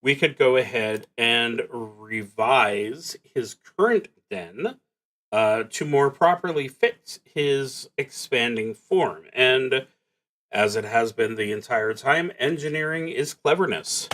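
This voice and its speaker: Man, mid-range voice, unhurried pace, solo tabletop game narration, thinking aloud, halting phrases. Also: mid-range voice, fairly bright tone. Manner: thinks aloud, hesitant pauses between phrases. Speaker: solo roleplaying game streamer.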